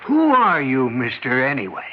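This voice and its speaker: An actor playing a gruff, anxious old diner owner and grandfather in a 1950s radio drama. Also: mid-range voice, wildly swooping pitch, moderate pace, a little hiss or hum. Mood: agitated gruff. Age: older. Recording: dull old radio recording.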